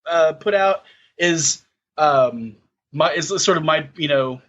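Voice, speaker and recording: A man's voice: a little high, takes it slowly, a bit bright, highs cut off. A man in his thirties chatting casually on a podcast, hesitant, with many fillers and restarts. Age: 30s. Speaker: podcast co-host. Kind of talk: casual chat.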